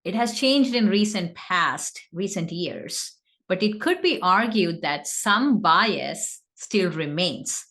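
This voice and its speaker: A woman's voice: medium pitch, a bit bright. A woman co-hosting a podcast, introducing the guest in an earnest, encouraging manner. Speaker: podcast co-host. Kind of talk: partly scripted podcast introduction.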